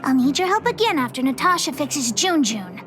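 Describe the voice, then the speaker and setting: A woman's voice: sits high, big pitch swings, moderate pace, a bit bright. A woman playing a bossy, bold little girl gang leader in a video game, self-important and speaking of herself in the third person. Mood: bossy, proud. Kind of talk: video game character acting. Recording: game music behind the voice.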